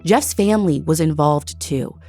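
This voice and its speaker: A woman with an American accent narrates as a true crime podcast host. Her voice sits on the low side, with music playing behind her scripted storytelling. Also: moderate pace, a little mellow.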